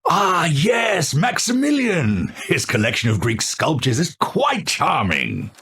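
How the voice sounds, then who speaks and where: A man voicing a gentle, absent-minded old scientist in a video game, courteous and formal, with halting speech. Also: a little high, huge pitch swings, slightly rough, heavily acted. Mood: polite, musing. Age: older. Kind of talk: video game character voice lines.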